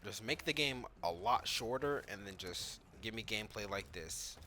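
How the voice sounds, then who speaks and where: A man's voice: on the low side, animated, normal speed, sharp tone, a little hiss or hum. A man giving casual reaction commentary on video game footage, talking informally and thinking aloud as a gaming YouTuber.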